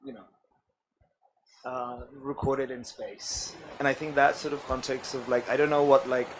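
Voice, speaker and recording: A man's voice: mid-range voice, fairly even intonation, normal speed, a little hiss or hum, low-quality recording. A man talking casually on a panel, padding his speech with "like" and trailing off. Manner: casual, lots of "like". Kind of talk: panel discussion.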